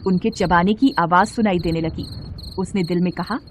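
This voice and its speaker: A woman narrating a literary story as an expressive scripted reading. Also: on the low side, moderate pace. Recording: steady insect-like background noise.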